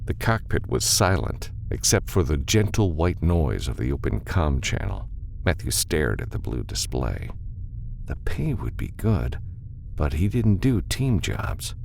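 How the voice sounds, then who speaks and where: A man narrating fiction as an audiobook reader, with a gravelly voice and a dramatic delivery. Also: very deep voice, very animated, measured pace, darkish tone, a little hiss or hum.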